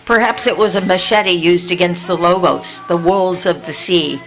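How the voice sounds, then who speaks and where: Soft-spoken older woman reading poetry. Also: fairly low pitch, faint music behind, poor audio. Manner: measured reading with pauses, then loose and halting between poems. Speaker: poet reading at an event. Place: indoor venue with an audience.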